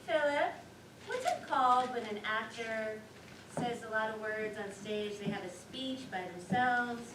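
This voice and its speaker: Actress playing a high-voiced scatterbrained wife, distant stage recording. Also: female voice, lively intonation, a little slow. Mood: musing, chatty. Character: scatterbrained, chatty wife, cheerfully sure of herself. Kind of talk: live one-act stage play acting. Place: theater stage, picked up from a distance. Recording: roomy, distant, noisy theater recording.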